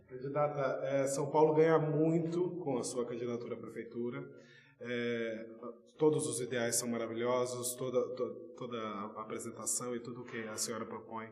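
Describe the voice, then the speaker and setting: A Brazilian man speaking Brazilian Portuguese as an interviewer, courteous and formal, with a slightly halting delivery. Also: mid-range voice, fairly even intonation, a little mellow. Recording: echoey reverberant room.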